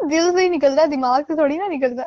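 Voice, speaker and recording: A woman's voice: sits high, fast. A woman chatting casually on a recorded phone call, with an amused, sarcastic manner.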